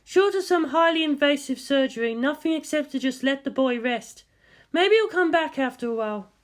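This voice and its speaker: Narrator putting on a cool lady scientist, pitched way up, clinical and matter of fact, kinda dry. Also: lively intonation, normal speed. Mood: cool, measured.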